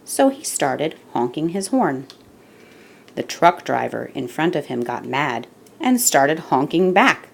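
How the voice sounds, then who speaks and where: Woman, children's story reader, slightly low pitch, very animated intonation, unhurried pace, expressive emphasis. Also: vocal fry. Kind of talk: read-aloud picture book narration.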